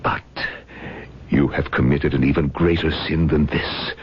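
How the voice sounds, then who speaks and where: Deep-voiced, troubled, stern rabbi. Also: male voice, steady tone. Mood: solemn, stern. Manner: grave, halting, emphatic delivery. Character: troubled, stern village rabbi, solemn and flustered. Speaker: actor in a radio drama. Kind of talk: 1970s radio drama acting. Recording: muffled, hissy 1970s radio recording.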